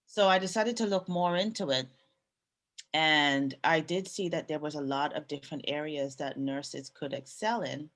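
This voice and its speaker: A woman who grew up in Canada, a nurse and business owner, telling her story in a relaxed way with pauses and fillers. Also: sits low. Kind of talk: casual interview storytelling.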